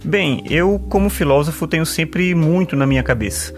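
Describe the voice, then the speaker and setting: A man's voice: fairly high pitch, normal speed, close to an everyday voice. A man playing a talkative male philosopher and sociology teacher in an audio drama, earnest and fond of quoting thinkers, with faint music underneath. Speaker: patient.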